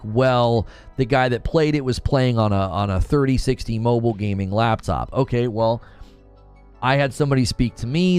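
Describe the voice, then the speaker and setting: A man's voice: mid-range voice, a little mellow, smooth. A young man hosting a live stream, chatting loosely about games and reading chat, with many fillers and restarts. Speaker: streamer host.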